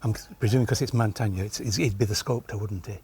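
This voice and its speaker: A man's voice: lowish voice, fairly even intonation, quick pace, darkish tone, a little hiss or hum. A man answering as a quiz contestant, thinking aloud and tentatively checking his answers.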